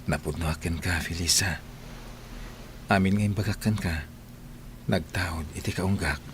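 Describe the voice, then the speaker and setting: A man's voice: sits low, measured pace, slight background noise. A man playing a sincere, lovestruck young master of the house, tenderly wooing in an Ilocano radio drama.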